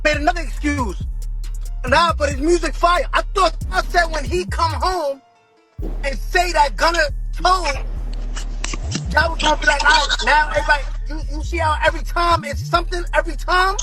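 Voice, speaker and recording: A man's voice: extremely high pitch, steady tone, moderate pace, over music, poor audio. A man in a heated livestream rant, emphatic and repeating words for effect.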